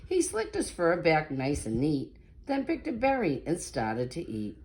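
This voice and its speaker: A woman's voice: low-pitched, wildly swooping pitch, unhurried, a little mellow. A woman narrating a children's picture book in a dramatic, sing-song read-aloud style.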